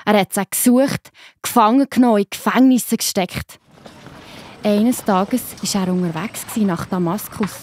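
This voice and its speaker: A woman with a Swiss German accent narrating a Bible story for children in a gentle, explaining manner. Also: mid-range voice, a little slow, slight background noise.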